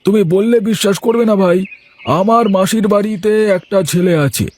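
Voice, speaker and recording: A poor, idle village husband from a cartoon acted by a man, with a high-pitched voice. He grumbles, brags and protests in a whining manner. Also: fairly even intonation, fairly quick, darkish tone, close to an everyday voice. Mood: boastful, resigned. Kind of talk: Bengali cartoon dialogue dubbing.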